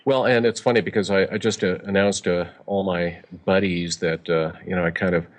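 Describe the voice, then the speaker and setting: A man's voice: low-pitched, steady tone, a little fast. A middle-aged man, a guest author on a remote call, telling his story in a rambling way with many fillers. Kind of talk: casual interview chat.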